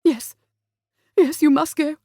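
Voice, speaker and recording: A woman's voice: very high voice, fairly even intonation, unhurried, dry recording, clean studio sound, pitched above the performer's own voice. A woman voicing a fretful, melodramatic middle-aged society mother in a historical romance audiobook, pleading and overwrought. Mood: distressed.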